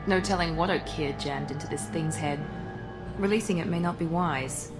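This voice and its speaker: Low-pitched, businesslike female squadmate played by a woman. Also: moderate pace, lightly acted. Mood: wary. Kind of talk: video game voice acting. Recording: loud game music behind.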